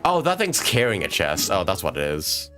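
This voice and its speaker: A man with a low, lively voice chats casually as he livestreams a game, with music playing behind him. His delivery is hesitant and rambling, as if thinking aloud. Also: normal speed. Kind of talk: casual gaming livestream chat. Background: video game music.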